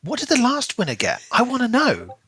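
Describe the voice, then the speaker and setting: A man co-hosting a podcast, casually discussing a book in an animated way and putting on mocking imagined quotes. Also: extremely high pitch, a little fast, breathy edge, no echo, clean studio sound. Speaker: podcast co-host.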